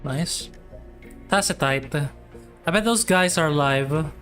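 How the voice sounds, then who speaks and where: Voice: mid-range voice, slow pace, a bit bright. A tired gamer giving casual, laid-back gameplay commentary in muttered asides. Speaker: gamer, streamer. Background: game music.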